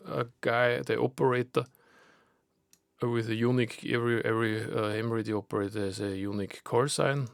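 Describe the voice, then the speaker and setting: Creaky-voiced man, halting non-native English speaker. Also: medium pitch, no room sound, clean studio sound. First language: not English. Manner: halting, searching for words, repeating himself. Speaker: amateur radio enthusiast presenting an idea. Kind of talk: informal unscripted explainer.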